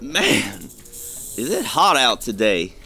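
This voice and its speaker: A man's voice: medium pitch, wildly swooping pitch, slow, vocal fry, sharp tone, exaggerated voice. A man playing an eager, anxious, chatty treasure hunter in an audio drama. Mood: eager, uneasy. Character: eager, anxious male treasure-hunting sidekick.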